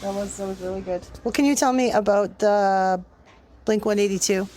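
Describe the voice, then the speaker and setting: A woman's voice: mid-range voice, steady tone, normal speed, darkish tone. A woman co-hosting a casual chat and asking questions.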